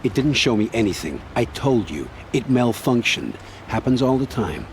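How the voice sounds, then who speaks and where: An actor playing a guarded, weary scout who talks in a gruff, dismissive way. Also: male voice, mid-range voice, sing-song, moderate pace. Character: guarded, evasive male scout, weary and curt. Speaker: outlander scout. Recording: game audio with ambient noise.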